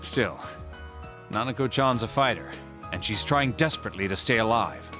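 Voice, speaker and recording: An actor playing a considerate hospital doctor in an English video game dub, measured, formal and gentle. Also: male voice, lowish voice, lightly acted. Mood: cautiously hopeful. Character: calm, considerate adult male hospital doctor. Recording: music bed under the voice.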